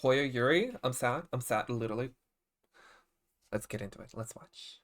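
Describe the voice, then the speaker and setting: A man giving casual livestream reaction commentary as a game streamer, expressive and exclamatory, often halting mid-thought. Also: mid-range voice. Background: game trailer music.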